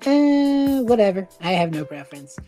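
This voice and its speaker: A woman playing an awkward, reserved male newcomer with a halting, easily flustered way of talking in a tabletop game. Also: mid-range voice, big pitch swings, dark tone, soft background music, voice pushed up, lightly acted.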